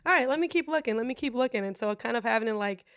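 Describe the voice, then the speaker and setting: A woman's voice: on the high side, animated, very fast, fairly bright tone, smooth, no echo. Brief: woman, English, rambling and blunt, dry close sound. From Germany. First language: German. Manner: rambling, frank, swears freely. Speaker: guest streamer. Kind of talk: casual interview chat.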